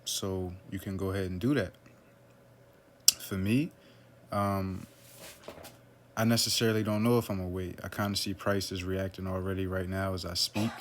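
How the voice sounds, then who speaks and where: A man casually talking through a trading chart on screen, relaxed and loose, with lots of "um" and "right?" fillers. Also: slight background noise. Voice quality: low, flat voice. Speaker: forex trader analyzing a chart.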